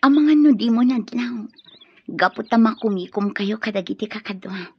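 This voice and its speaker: A woman's voice: a little high, expressive, normal speed, husky, exaggerated voice. A woman acting an earnest young lover who pleads and is easily hurt, in a radio drama with an Ilocano accent. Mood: tender, earnest. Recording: dull lo-fi audio over music.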